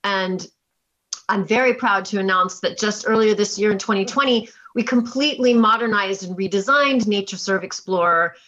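A woman's voice: medium pitch, normal speed, fairly bright tone. Woman presenting, slightly dull lo-fi sound. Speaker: keynote speaker and chief scientist. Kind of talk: conference presentation with slides.

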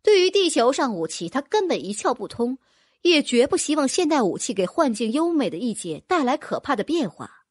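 High, lively woman narrating a story. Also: a little fast, slightly rough, dry recording. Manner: lively, expressive reading. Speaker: audiobook narrator. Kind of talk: scripted narration.

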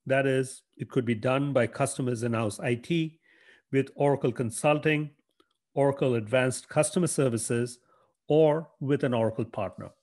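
A man delivers a prepared corporate presentation at a steady, formal pace. His pitch sits in an ordinary middle range, and he reads his text evenly. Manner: measured, formal, reads from a script. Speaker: product presenter.

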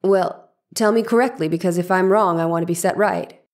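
A woman's voice: on the low side, brisk, darkish tone, close to an everyday voice. A woman reading the part of a persistent, exacting defense lawyer in a dramatized 1890s trial, questioning formally and methodically. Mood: insistent, probing.

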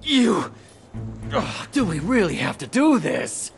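An excitable young comic sidekick in an anime game, boastful and dramatic. His pitch leaps around as he draws words out slowly over light music. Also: creaky, heavily acted. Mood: exasperated. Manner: exclamatory, dramatic.

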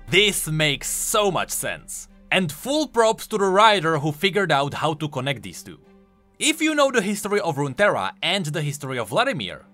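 A man's voice: a little high, sharp tone. A man giving enthusiastic, emphatic explanatory commentary on video game lore. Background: faint music.

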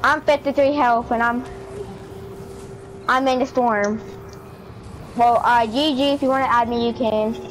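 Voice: medium pitch, steady tone, measured pace, long pauses, a bit bright, soft background music, poor audio. A young-sounding player chatting casually with teammates during an online game. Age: child or young teen. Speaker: player in an online squad match.

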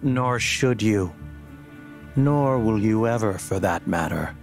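A man's voice: lowish voice, lively intonation, takes it slowly, vocal fry, soft background music, full character voice. A man playing a suave, menacing video game villain who speaks in a courtly, condescending, formal way. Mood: coolly condescending. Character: suave, menacing male villain of a dark organization. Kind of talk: video game cutscene acting.

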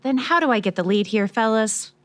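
A woman's voice: on the high side, lively intonation, a little fast, a bit bright, no echo. Noir radio drama: sassy female reporter, wry, punchy, slangy, close dry sound woman acting. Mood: probing.

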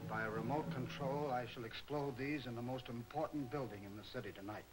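An actor as a measured, sinister criminal mastermind professor. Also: male voice, mid-range voice, close to an everyday voice, poor audio. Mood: coldly precise. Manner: measured, formal, sardonic. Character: soft-spoken, menacing criminal mastermind professor, male. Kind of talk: 1940s film serial acting. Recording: old 1940s film soundtrack, music behind.

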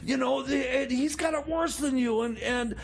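A man playing an excitable, rambling jailbird grifter who stammers and repeats himself in a radio comedy. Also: normal speed, heavily acted. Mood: rambling, earnest. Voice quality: high-pitched, creaky.

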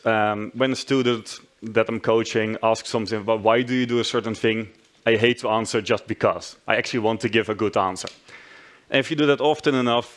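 A man's voice: fairly low pitch, fairly bright tone. A man in his thirties with a Dutch accent, giving a friendly, self-deprecating tech talk on a conference stage, with frequent restarts. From Netherlands. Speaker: conference speaker. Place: large conference hall stage.

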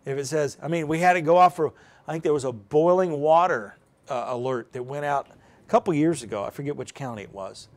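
A man's voice: a little high, a little fast. A middle-aged man hosting a live weather explainer as a TV meteorologist, talking casually and thinking aloud with restarts. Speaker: TV meteorologist, host. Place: indoor TV news studio. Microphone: lapel mic.

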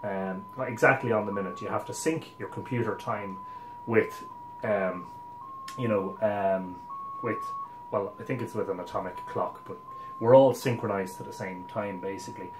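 A man in his forties with an Irish accent casually explains a demonstration, thinking aloud as he works in a relaxed way. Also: fairly low pitch, moderate pace, echoey room, music in the background, rough recording. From Ireland. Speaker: amateur radio operator presenting. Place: small home radio room, indoors. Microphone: camera a couple of metres away.

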